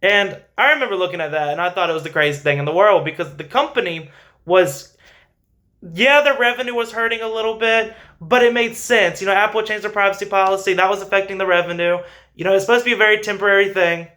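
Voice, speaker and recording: Bright, higher-pitched man talking finance. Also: quick pace. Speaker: host of a finance show. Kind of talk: informal stock commentary to viewers.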